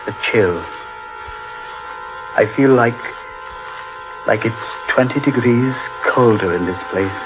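A man playing an earnest, uneasy gentleman adventurer in 1940s radio horror, speaking with dramatic gravity. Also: medium pitch, takes it slowly, long pauses, noisy background, lightly acted. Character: Danvers, uneasy gentleman adventurer, grimly telling a legend. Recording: muffled old radio recording, music behind.

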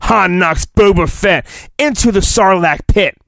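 A man's voice: high voice, measured pace, vocal fry, fairly bright tone, dry recording, clean studio sound. A man hosting a podcast, doing a comedic dramatic storybook reading in a hammy way, breaking off for joking, profane asides. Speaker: podcast host.

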